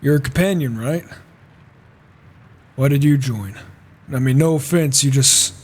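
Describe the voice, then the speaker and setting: A man's voice: medium pitch, a little slow, darkish tone, close to an everyday voice. A man roleplaying a reclusive, observant warrior in a fantasy game, musing to himself in muttered asides over a flawed home mic. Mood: guarded, polite. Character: reclusive male warrior, wry, observant wanderer. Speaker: player roleplaying a character in a game.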